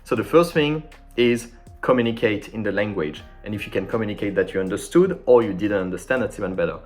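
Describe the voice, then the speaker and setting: Animated man teaching French tips. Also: fairly low pitch, quick pace, faint music behind. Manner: animated and informal, acting out sample dialogues. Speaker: French language tutor. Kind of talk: casual explanatory lesson.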